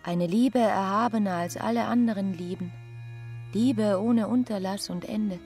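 An actress playing a melancholy, fervent young wife in a radio drama, with a solemn, yearning manner. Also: female voice, medium pitch, measured pace, darkish tone, faint music behind, natural voice.

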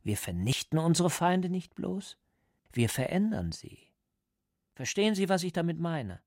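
An actor playing a coldly patient, menacing party interrogator who lectures deliberately and insistently in a radio drama. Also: male voice, on the high side, big pitch swings, darkish tone, no echo, studio quality. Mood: patient, controlling. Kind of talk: German radio drama acting.

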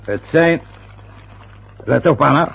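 An actor playing a jovial, teasing older father in a radio play, with a husky voice, chuckling and prying in a bantering way. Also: a little high, lively intonation, slow pace, a bit bright, full character voice, low-quality recording. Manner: bantering, nosy, chuckles often.

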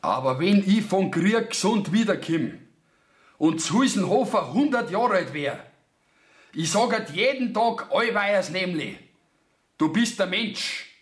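Sullen, high-voiced Bavarian lad. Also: male voice, lively intonation, a little slow, echoey room. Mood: bitter, hurt. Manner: bitter, stubborn. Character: sullen, hurt young peasant lad. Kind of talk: 1970s dialect radio play acting.

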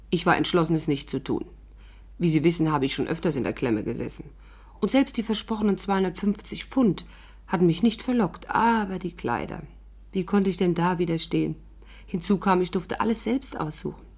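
An actress playing a witty, sceptical amateur adventuress in a 1950s radio drama, with an arch, playful manner. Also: female voice, lowish voice, animated, brisk, darkish tone, husky. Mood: wry narration. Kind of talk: 1950s German radio drama acting and narration.

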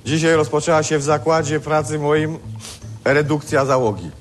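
A man acts out a defeated, glum husband in a radio comedy. He answers tersely and deadpan in a slightly high voice, with music audible behind him. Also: normal speed, natural voice. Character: gloomy, defeated working-class husband, pessimistic. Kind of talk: comic radio drama acting.

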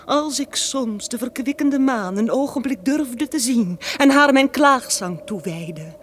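A woman's voice: medium pitch, background noise, natural voice. An actress playing a grieving, dignified noblewoman in an old-fashioned radio drama, with solemn declamation.

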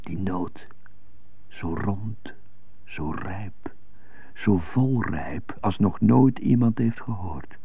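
An actor in a radio drama playing an anxious, stuttering jazz trumpeter who thinks aloud in a husky, halting, introspective way. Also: male voice, sits low, no room sound, heavily acted. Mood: dreamy, yearning. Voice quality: husky, hushed, gravelly. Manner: halting, introspective inner monologue. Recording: muffled, narrow phone-like radio recording.

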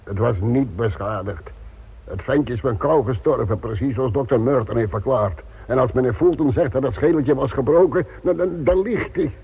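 A man's voice: mid-range voice, moderate pace, slightly rough. An actor playing a loyal, deferential village man in a radio drama, talking in a placating way. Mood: nervous, insistent. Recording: muffled, phone-like old radio recording.

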